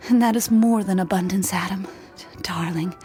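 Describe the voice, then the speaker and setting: An actress playing an elegant, lovestruck young daughter of a wealthy house in a radio drama. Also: female voice, medium pitch, normal speed, mellow, soft background music, natural voice. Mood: tender, ardent. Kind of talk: audio drama acting.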